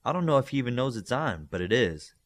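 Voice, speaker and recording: A man's voice: medium pitch, very animated, quick pace, close to an everyday voice. A man voicing a teasing, knowing young telepath girl in an audiobook story. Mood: matter-of-fact. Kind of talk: audiobook character dialogue.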